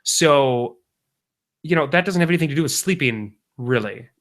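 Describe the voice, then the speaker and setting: A young man co-hosts a casual podcast. His voice sits at an ordinary middle pitch. He talks in long, rambling stretches and often corrects himself mid-sentence. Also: expressive, normal speed, fairly bright tone. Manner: rambling, self-correcting parent anecdotes. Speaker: podcast co-host. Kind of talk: casual chat.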